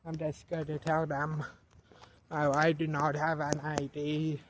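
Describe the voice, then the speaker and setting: An eighteen-year-old man vlogging outdoors at night, talking to a handheld camera, slow and repetitive, often restarting his sentences. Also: a little high, monotone, background noise. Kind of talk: casual vlog narration.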